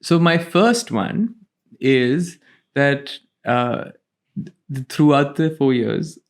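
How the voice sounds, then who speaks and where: A man's voice: medium pitch, animated, very slow. A young man co-hosting a casual podcast with his partner, talking in loose, restarting sentences and teasing her. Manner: rambling, restarts phrases, teasing. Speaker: podcast co-host. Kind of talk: casual chat.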